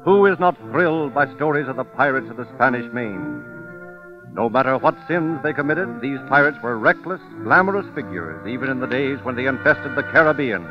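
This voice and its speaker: A man's voice: medium pitch, lively intonation, normal speed. A man announcing and narrating in a dramatic, scripted 1930s radio style. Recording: muffled vintage radio recording. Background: loud brassy music.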